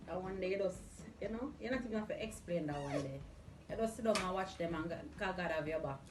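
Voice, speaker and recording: A woman's voice: fairly low pitch, lively intonation, fast talker, noisy background. A woman with a Caribbean accent chatting in an informal, playful way as a guest. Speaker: vlog guest. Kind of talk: casual chat. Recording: roomy sound, music underneath. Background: music.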